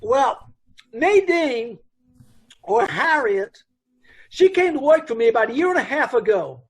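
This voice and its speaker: A man voicing an anxious middle-aged dance hall owner who rambles and trips over his grammar, his voice pitched high and swinging widely. He sounds uneasy, as in an old-time radio play. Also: very animated, a little slow, husky, heavily acted.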